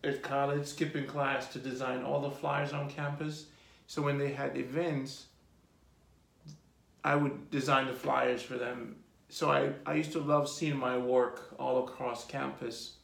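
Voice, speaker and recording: Man, forties, gravelly voice, relaxed rambling storyteller, echoey room. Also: mid-range voice, measured pace. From Jamaica. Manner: rambling lists, relaxed and reflective. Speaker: artist being interviewed. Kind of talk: casual storytelling interview.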